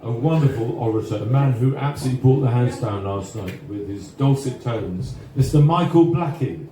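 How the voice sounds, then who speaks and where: A man's voice: mid-range voice, normal speed, recorded from a distance. A man hosting a live event on a bar stage, introducing performers in a jovial, chatty showman's way. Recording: echoey, noisy bar recording from off.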